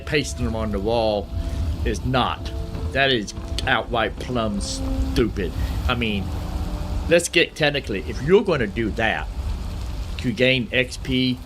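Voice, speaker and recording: A man giving casual, rambling gameplay commentary in a folksy way, as the host of a gaming channel. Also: on the low side. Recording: game music behind the voice. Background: game music.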